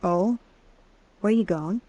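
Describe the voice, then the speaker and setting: A woman's voice: mid-range voice, slow, mellow, muffled recording. A woman playing a tough, guarded drifter in an old-time radio western, with a terse, probing way of talking. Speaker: radio drama actress. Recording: vintage radio drama recording.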